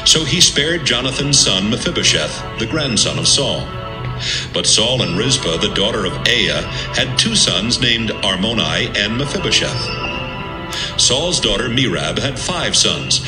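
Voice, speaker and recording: A man narrating an audio Bible from a script in a measured, serious manner. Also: medium pitch. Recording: roomy sound with music underneath.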